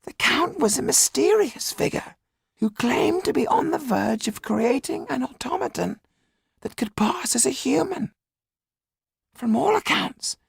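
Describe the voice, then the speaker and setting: A man voicing a haunted, secretive male magician in an audiobook, high, raspy and breathy, telling things in a slow, halting way. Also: no room sound, clean studio sound, full character voice. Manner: slow, dramatic, halting storytelling. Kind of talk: audiobook character acting.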